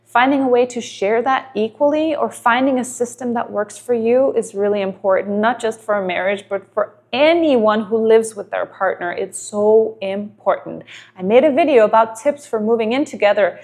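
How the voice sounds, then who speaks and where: A woman in her thirties from Denmark, a native Danish speaker, talks straight to camera in a vlog. Her voice sits a little high, and she works through her points earnestly, asking one rhetorical question after another. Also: normal speed, a little mellow. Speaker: relationship advice vlogger. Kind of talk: advice monologue to camera.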